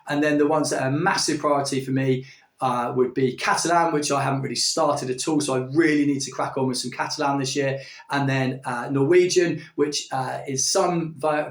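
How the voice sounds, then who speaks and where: A man, talking casually in a vlog, enthusiastic and rambling with plenty of filler words. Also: mid-range voice. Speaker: language-learning YouTuber. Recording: echoey room, distant from the mic.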